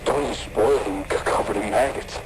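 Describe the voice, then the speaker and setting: A man playing a plain-spoken, uneasy construction worker leaving halting voice messages in an analog horror series. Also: on the low side, lightly acted. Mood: troubled. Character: uneasy blue-collar male construction worker, reporting strange happenings. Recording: loud radio-like static and site noise.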